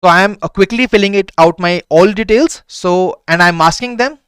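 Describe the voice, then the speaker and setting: High-voiced Indian man explaining a form. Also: brisk, fairly bright tone, smooth, no room sound, studio quality. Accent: Indian English. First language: Hindi. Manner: repetitive, reassuring explainer, mixing in Hindi. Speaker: exam prep tutor. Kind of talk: screen-recorded form walkthrough.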